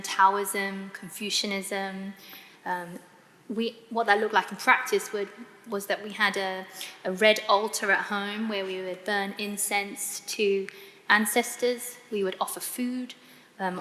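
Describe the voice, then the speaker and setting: Bright-voiced British woman in an echoey hall. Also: medium pitch, little pitch movement, measured pace. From United Kingdom. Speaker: interview guest giving testimony. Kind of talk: personal story in live interview. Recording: echoey hall, clear background noise.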